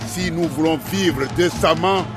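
An actor playing an angry, defiant railway worker demanding equal pay, fiery and declamatory, in a dramatized novel. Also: male voice, fairly high pitch, unhurried, over music, crowd noise, lightly acted. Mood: indignant, defiant.

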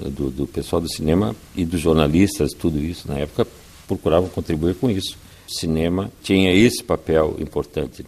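An older man from Brazil with a very deep, low voice tells his story in an interview. He speaks at a measured pace and often tags his sentences with "né". He talks like a guest who is used to telling stories. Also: darkish tone, slightly rough. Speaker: guest, writer and filmmaker. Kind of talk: reflective interview answers.

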